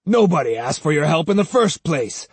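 A man performs a proud samurai retainer in a dramatised story. He is hot-tempered and indignant, blustering in a raised, husky voice with little room sound. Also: fairly high pitch, very animated, normal speed, no echo, voice pushed up.

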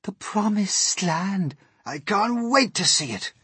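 Storybook insect companions, shrieky high pitch, excitable, shouting, wild swoops. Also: male voice, slow pace, slightly rough, no echo, exaggerated voice. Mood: excited. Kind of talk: children's storybook reading.

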